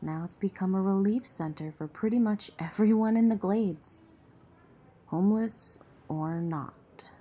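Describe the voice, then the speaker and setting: A woman's voice: mid-range voice, unhurried, warm tone, breathy edge. A woman playing a tough, jaded relief center volunteer in an audio drama, blunt and world-weary. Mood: grim, explaining.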